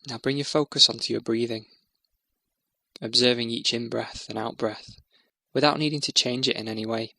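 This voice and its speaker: A man narrating a guided meditation in a soothing, measured, instructional way. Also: medium pitch, fairly even intonation, vocal fry, bright, forceful tone, dry recording.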